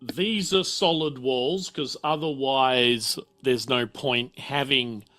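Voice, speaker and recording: A man narrates a tabletop roleplaying game in an informal, improvised way. His voice is bright and forward, with a slow, deliberate pace. Faint music plays behind him. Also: medium pitch. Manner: informal, explanatory. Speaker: game master.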